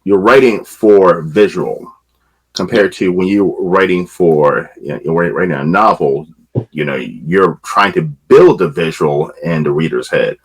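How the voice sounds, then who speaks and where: Low husky-voiced podcast host. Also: male voice, a little slow, low-quality recording. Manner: asks questions while rephrasing himself. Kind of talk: interview question.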